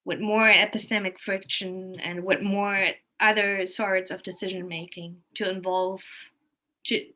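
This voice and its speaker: Female guest in informal panel talk, forward bright tone, medium pitch, earnest. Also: flat delivery, a little slow, a little hiss or hum, rough recording. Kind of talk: unscripted discussion.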